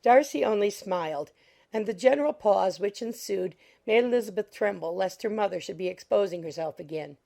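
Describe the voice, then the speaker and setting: A woman reading literary narration as an audiobook narrator, measured and formal. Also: medium pitch, animated, gravelly, dark tone.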